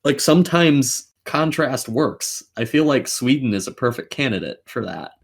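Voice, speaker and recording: A man with an average-pitched voice talks casually as a gaming podcast host. He is blunt and disgruntled, swearing often as he gives his opinions. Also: animated, moderate pace, vocal fry.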